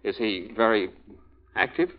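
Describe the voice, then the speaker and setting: A nervous, wisecracking American man in an old radio comedy. His pitch moves animatedly through hesitant, anxious lines. The recording is muffled, like vintage radio. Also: expressive, a little slow. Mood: wry, uneasy. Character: nervous, wisecracking adult man afraid of horses. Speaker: actor in a radio comedy-mystery.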